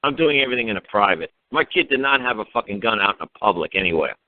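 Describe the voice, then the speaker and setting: Fast-talking, profane man on a lo-fi call. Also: on the low side, animated, dry recording. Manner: profane, blunt, emphatic; mocking rhetorical questions. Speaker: talk show speaker advising a caller. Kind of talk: ranting informal advice on a phone-in talk. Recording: narrow-band internet radio call.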